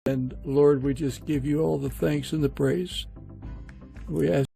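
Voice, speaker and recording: A man with a gravelly, mellow voice, pitched a little high, hosts an interview podcast. He talks in an informal, conversational way. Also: steady tone, a little slow, soft background music. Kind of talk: casual interview and informal prayer.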